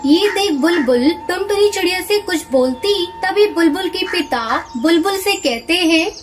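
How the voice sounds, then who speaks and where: A woman's voice: very high-pitched, expressive, measured pace, slight background noise. A woman narrating a scripted children's cartoon story. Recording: music under the voice.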